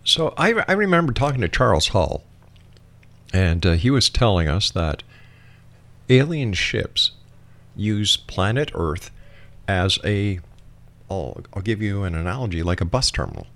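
A man's voice: fairly low pitch, big pitch swings, unhurried, pauses often, a bit bright. A man hosting an interview in a conversational style, musing aloud and putting questions to a guest. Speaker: interview host.

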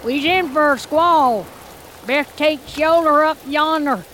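An older backwoods woman with a drawl, blunt and foreboding in a radio drama. She speaks very slowly, drawing out each word, with faint noise in the background. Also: sits high, fairly bright tone. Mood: matter-of-fact. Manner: folksy rustic dialect, plain-spoken. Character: old rural woman guide, blunt and ominous. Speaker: local guide.

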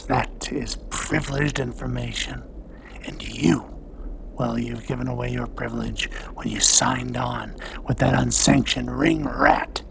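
Gravelly, smug villainous guard captain, acted by a man. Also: mid-range voice, steady tone, background noise. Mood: taunting. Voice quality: creaky, gravelly. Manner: slow, smug, formal taunting. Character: snake-like, taunting captain of the royal guard, man. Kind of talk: science-fiction audio drama acting. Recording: music under the voice. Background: dramatic music.

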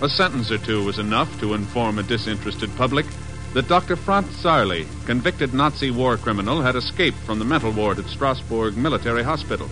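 A man's voice: mid-range voice, animated, fairly quick, poor audio. A man narrating a 1950s radio thriller as announcer, dramatic and portentous. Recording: old 1950s radio recording. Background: orchestral music.

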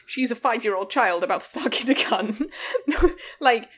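A woman's voice: on the high side, expressive, moderate pace, a bit bright. A woman co-hosting a podcast, talking candidly and laughing often. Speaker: podcast co-host. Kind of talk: casual chat.

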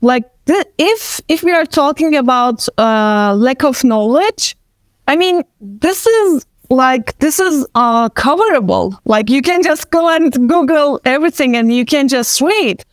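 A woman's voice: sits high, animated, a little slow, no echo, clean studio sound. A woman in her thirties who speaks English with a Turkish accent, a panelist and event organizer talking casually, rambling with many fillers and easy laughter.

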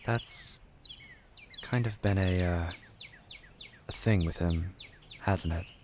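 A man voicing a calm, protective older camp boy in an audio drama, gentle and a little hesitant. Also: low voice, slow, noisy background. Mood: calm, concerned.

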